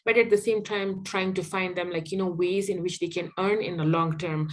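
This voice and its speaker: A young woman with a Pakistani accent, a guest in a casual interview, talking quickly and leaning on fillers like "like, you know". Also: on the low side, fairly even intonation. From Afghanistan.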